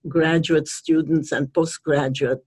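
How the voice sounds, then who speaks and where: Older man in his sixties, Hungarian accent, husky and fairly high voice, reflective and unhurried in a dry close recording. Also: level tone, no echo. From Transylvania. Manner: thoughtful, pausing often with fillers. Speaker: retired professor and ESL tutor. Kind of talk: reflective interview conversation.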